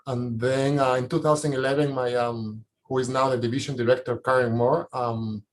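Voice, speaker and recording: A man's voice: mid-range voice, fairly even intonation, moderate pace. A man with a Latin American Spanish accent, a scientist panelist informally recounting his career, often searching for words. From Ecuador and Colombia. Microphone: video call. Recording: noisy video-call audio.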